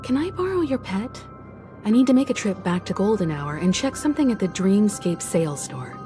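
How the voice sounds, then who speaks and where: A woman's voice: medium pitch, very animated, fairly quick, close to an everyday voice. A woman playing an earnest, resolute believer in a video game, speaking with solemn, articulate persuasion. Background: game music.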